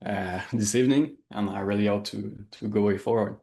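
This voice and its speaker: A man from Quebec with a Quebec French accent, a native French speaker, answering interview questions in English and often pausing to search for words. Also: on the low side, fairly even intonation, dark tone. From Quebec, Canada. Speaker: interview guest. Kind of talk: casual interview.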